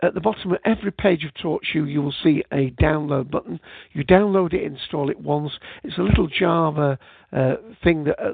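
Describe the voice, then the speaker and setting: Gravelly man hosting over a tinny line. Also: fairly high pitch, dry recording. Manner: rambling, with frequent uh fillers. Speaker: podcast host. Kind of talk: casual solo podcast talk. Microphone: USB headset.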